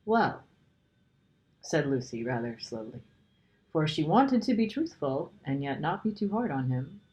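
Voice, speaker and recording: A woman narrating a children's story as an expressive storybook reader. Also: deep voice, normal speed.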